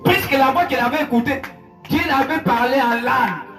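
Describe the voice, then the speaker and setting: A male pastor preaching in French with an interpreter, telling a story with emotion, moving between hushed and raised passages. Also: very high voice, a little fast. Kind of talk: sermon with interpreter. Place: church hall. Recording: echoey church hall, far from mic, music behind.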